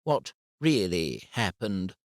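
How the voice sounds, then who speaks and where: A man voicing a calm, formal female head teacher in an audiobook, speaking patiently and carefully. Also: low voice, takes it slowly, no echo, studio quality, heavily acted. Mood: measured.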